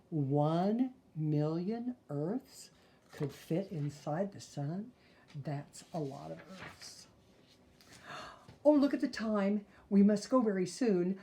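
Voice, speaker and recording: An older woman reading a children's picture book aloud, phrasing deliberately and adding gentle asides for young listeners. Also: mid-range voice.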